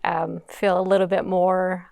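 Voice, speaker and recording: A woman moderating a panel on a conference stage, her voice low-pitched with a gravelly edge. She talks haltingly, stopping often to gather her words. The sound is smooth and dry. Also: level tone, darkish tone, no room sound. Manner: halting, frequent ums.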